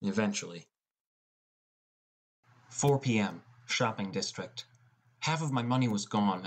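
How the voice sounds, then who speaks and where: Low-voiced man narrating an audiobook. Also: husky. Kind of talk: scripted first-person story narration.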